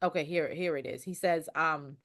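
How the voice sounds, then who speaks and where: Female podcast guest, slightly low voice, conversational history explainer who rephrases and circles back. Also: moderate pace.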